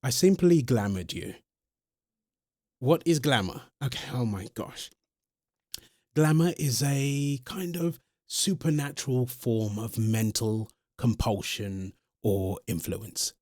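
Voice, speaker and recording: A man playing a centuries-old vampire boyfriend in an ASMR roleplay, exasperated but protective, speaking haltingly and pleadingly to the listener. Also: medium pitch, a little mellow, no echo, studio quality. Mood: reassuring, earnest. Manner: hesitant, halting, pleading, addresses listener directly.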